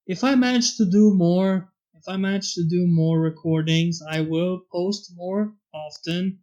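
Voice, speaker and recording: A man's voice: high-pitched, a little slow, darkish tone. A young adult man narrating a fan story as its reader. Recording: dull lo-fi with background music. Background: faint music.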